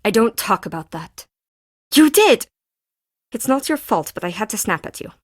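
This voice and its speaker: A moody theatrical lady artist in a video game, really animated, highish voice, dry recording. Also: moderate pace, dry recording. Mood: bitter, snapping. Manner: dramatic, emphatic, abrupt shifts. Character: intense, volatile woman artist with theatrical temper. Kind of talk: adventure game voice acting.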